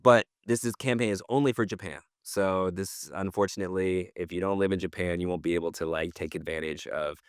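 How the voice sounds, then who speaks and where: A man's voice: low-pitched, fairly even intonation, a little fast, few pauses, clean tone, no room sound, clean studio sound. A middle-aged man co-hosting a podcast, chatting casually about news in an informal, slangy way. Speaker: podcast co-host presenting industry news. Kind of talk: casual chat.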